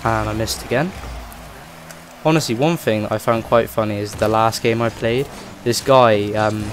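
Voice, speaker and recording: A man hosting a gaming channel, chatting casually over his gameplay and rambling with lots of fillers, his voice rough from a cold. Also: lowish voice, normal speed, noisy background.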